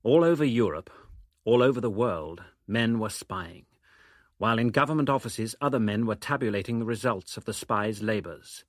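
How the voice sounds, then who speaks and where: A man's voice: fairly low pitch, normal speed, dry recording, studio quality. A man reading scripted narration in a dramatic style. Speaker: documentary narrator.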